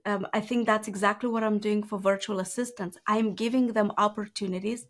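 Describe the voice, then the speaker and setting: A woman in her thirties with a Moldovan accent talks quickly and earnestly. She is a guest telling her own story, and her pitch sits in the middle. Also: steady tone. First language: Romanian. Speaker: guest entrepreneur. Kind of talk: conversational interview.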